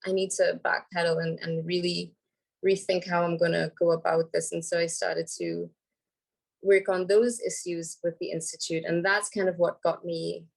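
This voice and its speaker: A woman, hoarse from a cold, speaking on a video call as a panel speaker, thoughtful with many ums and restarts. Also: lowish voice, level tone, normal speed, rough recording. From Trinidad and Tobago. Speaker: panel speaker, environmental lawyer. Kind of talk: casual panel talk.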